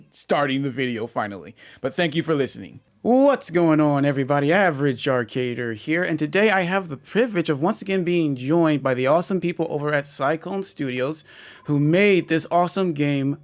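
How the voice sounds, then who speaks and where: Upbeat rambling male channel host. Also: a little high. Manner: rambling, upbeat, many restarts. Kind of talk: casual video update and interview.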